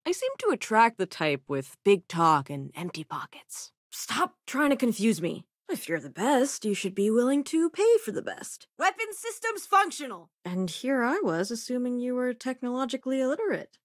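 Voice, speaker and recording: An actress voicing a cynical, dry-witted spacefaring hacker in a video game, with a sardonic, conversational manner. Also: female voice, a little high, dry recording. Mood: urgent, combative. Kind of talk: video game companion voice lines.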